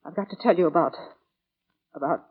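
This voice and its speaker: A nervous middle-aged landlady with a fairly low voice. She is hesitant and deferential, in a 1940s radio play with muffled sound. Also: brisk, slightly rough. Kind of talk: 1940s radio drama acting. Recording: dull vintage radio recording.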